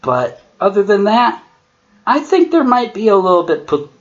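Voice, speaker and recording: A man's voice: high voice, very animated, normal speed. A man giving critical commentary on amateur horror fiction in a sarcastic, profane way. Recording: muffled lo-fi mic with clear noise.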